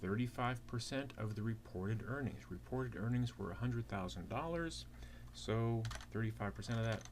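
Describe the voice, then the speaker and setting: A man's voice: on the low side, animated, moderate pace. A man giving an instructional walkthrough as a teaching assistant, explaining step by step with rhetorical questions. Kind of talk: instructional walkthrough of a worked problem. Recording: clear background noise with keyboard typing.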